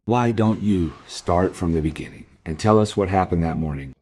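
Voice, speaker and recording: Casting: probing male detective, mellow lower register, steady and insistent interrogator for a crime radio play. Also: husky. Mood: grave, insistent. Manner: measured, insistent questioning.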